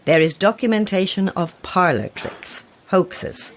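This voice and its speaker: An actress playing a proud, sceptical horror novelist in an audio drama, with a wry, self-assured manner. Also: female voice, lowish voice, measured pace, a little hiss or hum, lightly acted. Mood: dry, defiant. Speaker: actor.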